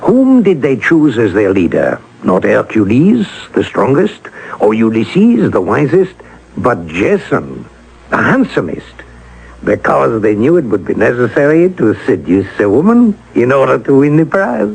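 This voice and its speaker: An actor playing a wry, persuasive raconteur in old radio style, dry and smooth-talking, with a gravelly voice. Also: male voice, medium pitch, wildly swooping pitch, measured pace, a little mellow, heavily acted, poor audio. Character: wry, talkative male raconteur, persuasive and amused. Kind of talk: old-time radio acting. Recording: vintage radio broadcast.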